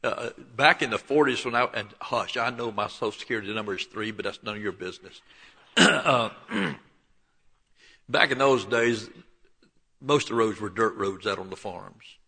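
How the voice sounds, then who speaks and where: A man in his seventies with a Southern Alabama accent, preaching and telling his life story in a folksy way, often clearing his throat. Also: lowish voice, normal speed, sharp tone. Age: 70s. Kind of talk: sermon with personal testimony. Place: church.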